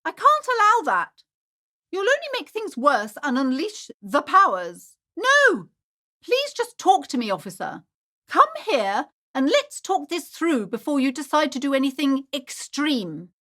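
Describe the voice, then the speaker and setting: Prim, high-voiced, swooping female shopkeeper. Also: sing-song, measured pace, sharp tone, full character voice. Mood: anxious, pleading. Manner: fussy, formal, theatrical. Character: prim, anxious, superstitious female bookshop keeper, haughty yet polite. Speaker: video game voice actor. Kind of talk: acted video game dialogue.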